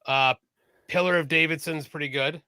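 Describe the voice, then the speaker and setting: Bright-voiced chatty man, dry sound. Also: fairly high pitch, fairly even intonation, normal speed, clear, resonant tone, no room sound. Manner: chatty, enthusiastic, swears casually. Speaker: podcast co-host. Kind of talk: casual chat on a live stream.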